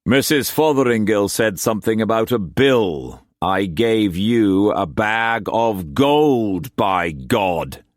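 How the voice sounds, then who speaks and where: A man voicing a stern, forceful merchant leader who speaks bluntly. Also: fairly low pitch, animated, slow, hardly any pauses, no echo, studio quality. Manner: blunt, commanding.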